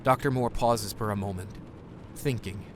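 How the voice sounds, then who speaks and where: A man's voice: fairly low pitch, normal speed, slight background noise. A man reading scripted scene narration for an audio drama.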